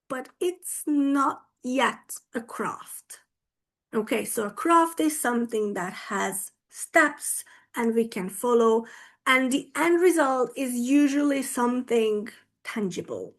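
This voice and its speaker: A woman whose first language is Hungarian gives a talk at an online teaching conference, her voice sitting a little high. She speaks slowly and deliberately, regularly pausing to check that her listeners are following. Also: lively intonation. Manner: slow, deliberate, often checks in with "right?".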